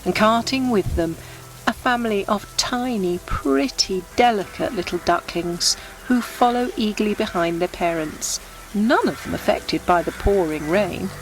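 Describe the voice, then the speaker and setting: A woman narrates a scripted guided meditation in a gentle, unhurried, descriptive storytelling manner. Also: mid-range voice, low-quality recording. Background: background music.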